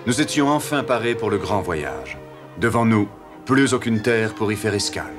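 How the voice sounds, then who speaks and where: Brief: male actor as assured seafaring admiral for a historical cartoon, measured explanatory delivery, prominent music underneath. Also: on the low side, expressive, natural voice. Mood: grave narration. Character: calm, confident male explorer and ship's admiral. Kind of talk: French animated history series dubbing, partly narrated. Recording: music behind.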